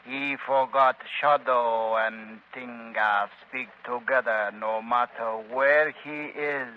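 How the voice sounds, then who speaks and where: A very slow-talking male servant played by an actor, loyal and grave, speaks in halting, simple broken English. His pitch is middling. It is an old radio drama with dull, faintly noisy sound. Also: steady tone, natural voice. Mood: solemn, loyal. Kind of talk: 1930s radio drama acting.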